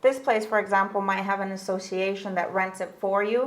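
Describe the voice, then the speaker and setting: A woman realtor from Honduras whose first language is Spanish, talking through property purchases in an interview. Her pitch sits in the middle range, and she explains each step in a steady, informative manner that aims to reassure. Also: brisk. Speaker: local realtor.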